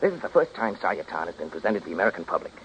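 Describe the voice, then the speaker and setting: A man's voice: low-pitched, very animated, racing, gravelly, a little hiss or hum, heavily acted. An actor playing a self-important, bombastic Hollywood studio boss in a 1930s radio comedy, domineering like a salesman. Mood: pitching. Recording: dull vintage 1930s radio recording.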